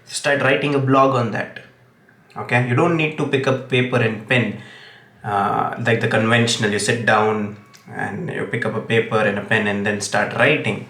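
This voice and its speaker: A man's voice: fairly low pitch, level tone, moderate pace, fairly bright tone. Man, Indian English accent, native Gujarati speaker, English teacher, earnest, says okay a lot, echoey room. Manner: earnest, repeats "okay" often, fillers. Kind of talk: direct-to-camera tips video.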